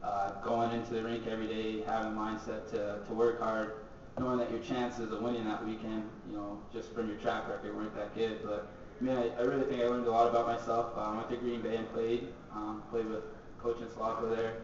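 Young man, hockey player, monotone, fast talking, heard from afar. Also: lowish voice, slight background noise. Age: young adult. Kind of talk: press conference answers. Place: large echoey press room. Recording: echoey, distant, dull.

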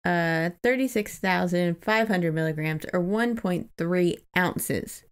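A woman's voice: fairly low pitch, slow pace, smooth. A woman co-hosting a podcast, chatting casually about a book. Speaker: podcast co-host.

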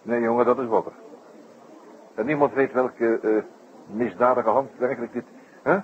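An actor playing a wry, unruffled police inspector in an old radio play, musing with drawn-out hesitations. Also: male voice, medium pitch, sing-song. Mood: concerned. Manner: droll, musing, drawn-out hesitations and echoed phrases. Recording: dull, creaky old radio recording, faint noise.

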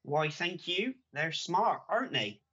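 Woman as a low-voiced joking village bailiff. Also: animated, unhurried, a bit bright, slightly rough, highs cut off, heavily acted. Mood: jovial. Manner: chummy, comic patter. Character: cheery joking village bailiff and Morris man. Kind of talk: radio pantomime acting.

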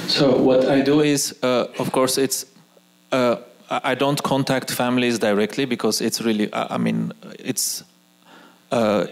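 A man in his forties, a native Arabic speaker, speaking on an auditorium stage as a guest artist, pausing and restarting often. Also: medium pitch, little pitch movement, vocal fry. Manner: hesitant, frequent restarts. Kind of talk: onstage conversation. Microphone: handheld mic.

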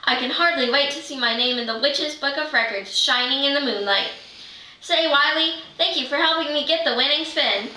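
Teenage girl playing a high, excitable witch. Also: normal speed. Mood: excited, proud. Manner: dramatic chanting delivery. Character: excitable female witch chanting spells, proud and eager. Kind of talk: readers' theater script reading. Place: living room, far camera microphone. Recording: roomy, distant microphone.

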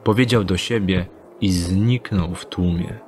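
A man narrating an audio drama in a steady, descriptive storytelling manner. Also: low-pitched, a little slow. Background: music.

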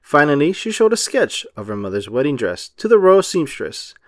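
A man reading a children's storybook aloud in an expressive, emphatic storytelling manner. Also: a little high, normal speed, dry recording, studio quality. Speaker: children's book read-aloud narrator. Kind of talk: expressive scripted storybook narration.

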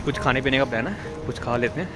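A man's voice: mid-range voice, fast, loud music behind the voice. A young man chats casually to viewers as a vlogger, holding a phone at arm's length. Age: young adult. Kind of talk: casual vlog chat. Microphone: handheld phone at arm's length. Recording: noisy phone audio with wind and ambience.

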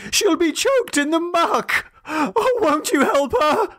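A man voicing a frantic, pleading gentleman father who gasps and exclaims in a storybook reading. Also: extremely high pitch, lively intonation, slow, voice pushed up, exaggerated voice. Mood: desperate, gasping. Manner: desperate, gasping, exclaiming.

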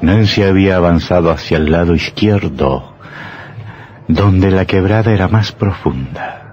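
A low, theatrical voice over faint noise on an old radio recording. a sinister storyteller narrating horror drama with scripted gravity. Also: male voice, a little slow, a little mellow, highs cut off. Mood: sinister, dramatic.

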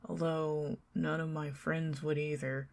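Southern girl doing asmr roleplay, low gravelly voice, slow and rambly, slips in and out of whispers. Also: little pitch movement. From Southern United States. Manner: chatty and rambling, drifting between soft speech and whispers, with nervous giggles. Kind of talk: ASMR roleplay, talking to the listener as a friendly neighbor.

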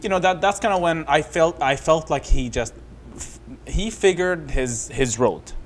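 A man and restaurant co-owner, originally from Saudi Arabia, telling stories on a podcast in a loose, rambling way and saying "bro" often, recorded on a boom mic with headphones in a lounge-style studio. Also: unhurried, a little hiss or hum. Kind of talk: casual podcast chat. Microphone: headset and boom mic.

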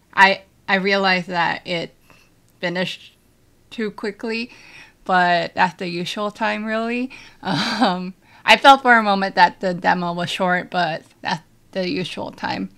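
A young woman talking casually in a reaction video from a small bedroom setup, relaxed and hesitant with many fillers. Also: medium pitch, takes it slowly, smooth.

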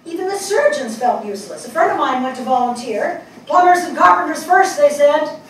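A woman's voice: high voice, lively intonation, fairly quick. An older woman on stage acting a reflective, wry middle-aged journalist from New York in a dramatic monologue. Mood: earnest, wry. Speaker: stage actress in a dramatic reading. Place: indoor small theatre stage. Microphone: far camera. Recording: echoey theatre, distant mic, faint noise.

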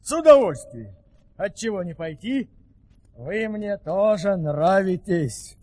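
Casting: easygoing storybook wonder-worker, high voice, unhurried deliberate delivery, long reverb. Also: male voice, big pitch swings, warm tone, full character voice. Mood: cheerful, unhurried. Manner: measured, deliberate. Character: easygoing, unhurried fairy-tale helper with marvelous skills. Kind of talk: theatrical audio-play acting.

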